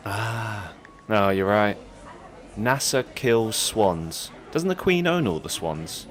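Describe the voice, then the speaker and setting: Very low-voiced, dim young man, played by an actor. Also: lively intonation, takes it slowly. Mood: deadpan, credulous. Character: dim, credulous, laid-back young man. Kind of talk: conversational audio drama, satirical comedy.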